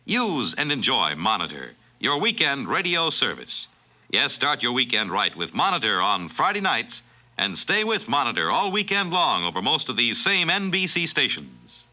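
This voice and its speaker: A man's voice: mid-range voice, huge pitch swings, moderate pace, sharp tone. A man working as a 1950s radio announcer, reading scripted copy in a dramatic, declamatory way.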